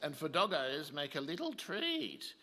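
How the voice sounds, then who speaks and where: A man's voice: a little high, wildly swooping pitch, unhurried. A man playing a gleefully vicious Roman emperor, relishing cruel rhymes theatrically in a radio play. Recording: echoey hall, noisy crowd behind.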